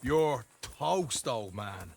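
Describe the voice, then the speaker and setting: A man's voice: mid-range voice, expressive, extremely slow, vocal fry, a little mellow, full character voice. A man playing a boastful, hot-headed young outlaw with an Irish accent, needling and theatrical, in a video game. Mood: cocky. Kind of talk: animated video game acting.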